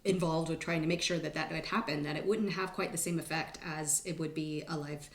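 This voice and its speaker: A woman's voice: low-pitched, fairly even intonation, a little fast. Woman chatting in an echoey room. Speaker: voice actor on a panel. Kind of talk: casual chat.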